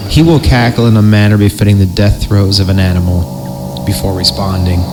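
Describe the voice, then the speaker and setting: A man's voice: on the low side, steady tone, a little fast, noisy background. A man hosting a horror podcast, reading creepy stories theatrically and breaking off into irreverent, slangy asides. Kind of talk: dramatic reading of creepypasta with casual joking asides.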